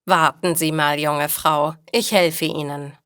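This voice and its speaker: A woman's voice: low-pitched, fairly even intonation, takes it slowly, fairly bright tone, smooth, no room sound, studio quality, pitched below the performer's own voice. A woman voicing an insistent, meddling but friendly male neighbour in a language-learning story, speaking politely and emphatically. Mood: eager, helpful.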